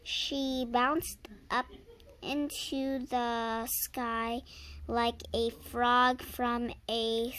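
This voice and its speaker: A woman's voice: fairly high pitch, level tone, extremely slow, fairly bright tone. A young girl reading a tall tale aloud informally, stumbling over words, correcting herself and adding asides to viewers. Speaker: reader of a readers theater script. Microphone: handheld camera held by the reader.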